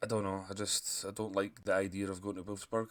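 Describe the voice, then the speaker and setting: Male gaming commentator, deep voice, sore throat, relaxed chatty delivery with frequent throat-clearing. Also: fairly quick. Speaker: gaming YouTuber. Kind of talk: casual commentary over a football management game.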